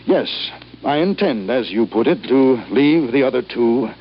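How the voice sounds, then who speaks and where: A man's voice: medium pitch, expressive, a little slow. An actor playing an ailing, embittered old businessman, formal, curt and emphatic in a radio drama. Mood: disapproving, imperious. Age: older. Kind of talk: 1950s radio drama acting. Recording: muffled, noisy old radio recording.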